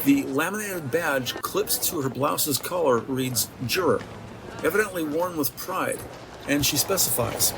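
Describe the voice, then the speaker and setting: A man's voice: fairly high pitch, animated, measured pace, low-quality recording. A man narrating an audio drama from a script in a descriptive, theatrical storytelling manner. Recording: music behind the voice.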